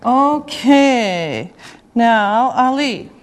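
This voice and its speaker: A woman teaching an English class, speaking slowly and deliberately with clear enunciation. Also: a little high, animated. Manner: slow and deliberate, drawing out words.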